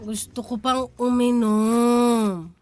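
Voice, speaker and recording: An actress in a Filipino radio drama playing a heartbroken, stubborn betrayed wife who is drunk and tearful. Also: female voice, on the high side, flat delivery, slow, a little mellow, a little hiss or hum. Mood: drunk, woozy.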